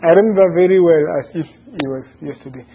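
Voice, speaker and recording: A man talks through his own story in an earnest, halting way, often stopping to find the right word. His voice sits a little high for a man. The recording is dull and lo-fi, with a faint hiss underneath. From Cameroon. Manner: earnest, halting storyteller who searches for words. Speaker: interview guest. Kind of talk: personal life story in conversation. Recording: dull lo-fi sound with faint noise.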